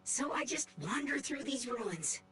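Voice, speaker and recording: A woman's voice: high-pitched, animated, measured pace, fairly bright tone, slight background noise. A woman voicing a small, worn-out old combat robot for a video game, formal, earnest and resigned. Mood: melancholy, resigned. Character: battered old obsolete combat robot, small, melancholy and dutiful. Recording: music behind the voice.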